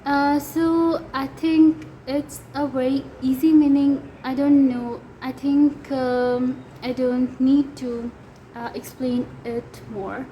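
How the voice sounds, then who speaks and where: Pakistani woman with a high voice, Urdu native, teaching casually and pausing on fillers, with faint noise behind. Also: flat delivery, warm tone, poor audio. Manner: hesitant, many fillers. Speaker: language teacher. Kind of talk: casual language lesson.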